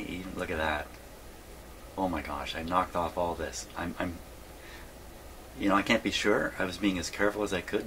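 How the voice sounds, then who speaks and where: A man with a low-pitched voice talks casually through hands-on work in a home workshop. He rambles and muses to himself as he goes, with clear noise behind him on the recording. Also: a bit bright, rough recording. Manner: rambling, musing aloud to himself. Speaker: host of a radio repair video. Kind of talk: casual hands-on workbench narration.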